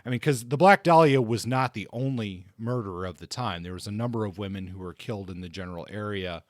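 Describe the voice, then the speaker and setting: Male co-host, lowish voice, very dry recording; informal explainer who reworks his points mid-thought. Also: fairly quick, dry recording. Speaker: podcast co-host discussing books. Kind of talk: casual explanatory discussion.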